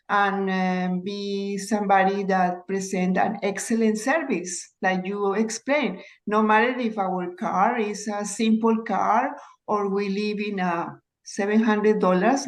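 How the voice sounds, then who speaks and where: A woman's voice: medium pitch, poor audio. A woman from Colombia, speaking on a video call as a real estate agent. She talks hesitantly, repeats words and uses phrasing. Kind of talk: casual video call discussion.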